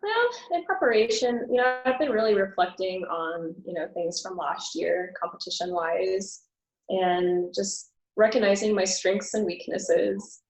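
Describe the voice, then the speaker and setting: A woman speaks at an ordinary pitch in a soft, hesitant way. She fills her answers with pauses as she talks through an interview. The sound is roomy and echoing. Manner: soft-spoken, hesitant with many fillers. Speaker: pageant contestant.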